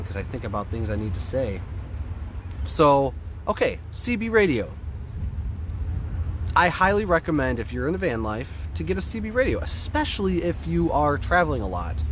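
An American man in his thirties giving a casual, rambling vlog update, thinking aloud to the camera from the open back of a van. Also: medium pitch. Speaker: van life vlogger. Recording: outdoor ambient and vehicle noise.

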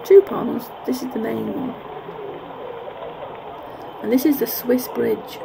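A woman narrates casually as she walks outdoors, musing and correcting herself. Her voice is fairly high and lively in its rise and fall. It is captured on a handheld camera with clear background noise of the park. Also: takes it slowly. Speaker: vlogger. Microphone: handheld camera's built-in mic. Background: clear park ambience noise.

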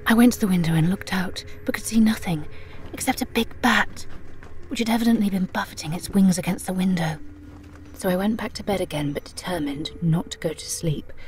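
An actress playing a frightened, weakened young woman, narrating in a breathy, dramatic whisper in an audio drama. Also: on the low side, normal speed, darkish tone, close to an everyday voice. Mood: fearful. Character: frightened, weakened young woman writing her last record.

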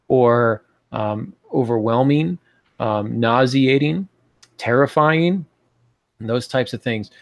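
A man in his forties with an American accent talks through writing advice on a podcast. His medium-pitched voice has plenty of gravelly fry. He speaks informally and a little hesitantly, in a dry, close home-office sound. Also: dry recording. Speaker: podcast co-host teaching fiction writing. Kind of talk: informal instructional podcast talk. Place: home office, on a video call.

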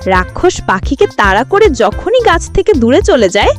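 A woman narrates a children's fairy tale. Her voice is fairly high and moves with lively intonation, over soft background music. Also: normal speed. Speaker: storyteller.

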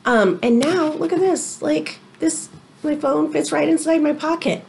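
A middle-aged woman, an elementary teacher, reading aloud to young children with expressive, chatty asides. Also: sits high, measured pace, vocal fry, a little hiss or hum. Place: small indoor room.

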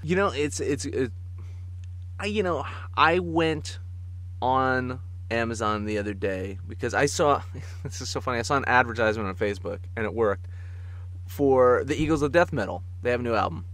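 A man co-hosting a podcast in casual comic chat, telling stories in an animated way and chuckling as he talks. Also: mid-range voice, moderate pace, no echo. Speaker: podcast co-host.

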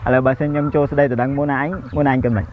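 A man's voice: medium pitch, very fast, narrow, tinny audio. A young man chatting casually and jokily, as host of a prank-call video, outdoors in a yard. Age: young adult. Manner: jokey, laughs often. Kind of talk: casual chat on a phone call and to camera. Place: outdoors in a grassy rural yard. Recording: steady outdoor background noise.

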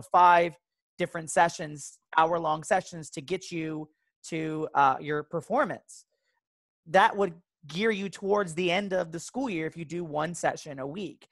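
A man's voice: a little high, a bit bright, dry recording. A man, a theater teacher, explaining informally and earnestly to fellow educators on a video call. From Texas resident.

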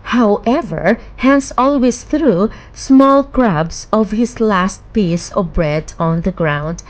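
A woman narrating a children's bedtime fairy tale in a measured, expressive storytelling manner. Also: medium pitch, low-quality recording.

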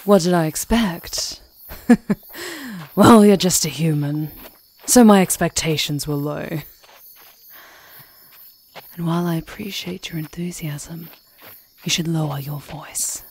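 A woman's voice: low voice, slow pace, pauses often, a little mellow, breathy edge, heavily acted. A woman playing a cocky, teasing pack leader in an audio roleplay, with a brash, casual manner. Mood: hushed, cautioning.

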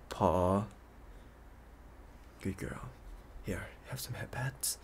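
An unhurried, coaxing young man, as a male voice actor plays him in an ASMR roleplay, obsessive and possessive yet playful. His pitch swings freely as he dotes on the listener, over some background noise. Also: creaky, exaggerated voice. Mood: hushing, commanding. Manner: coaxing, hesitant pauses, hushed asides.

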